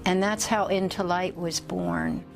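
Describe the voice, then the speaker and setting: Woman, earnest advocate, lowish voice with a gravelly fry, faint music behind. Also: steady tone, unhurried. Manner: earnest, thoughtful, with small restarts. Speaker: nonprofit representative. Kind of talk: interview remarks.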